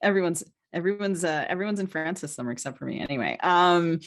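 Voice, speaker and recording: A woman in casual podcast conversation as co-host and guest, wry and joking, pausing and hedging as she talks. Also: lowish voice, lively intonation. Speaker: podcast co-host and novelist being interviewed.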